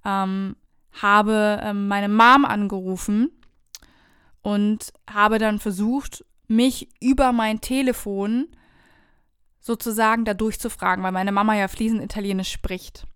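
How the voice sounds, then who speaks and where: Woman with a very smooth, clear voice. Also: medium pitch, a little slow, dry recording, clean studio sound. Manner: animated, conversational recounting. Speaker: vlogger telling a travel story. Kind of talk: casual personal storytelling to viewers.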